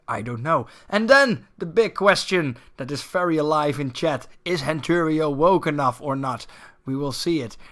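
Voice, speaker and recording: Bright-voiced man casting a game tournament. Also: mid-range voice. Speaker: esports tournament commentator and host. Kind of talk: live game commentary.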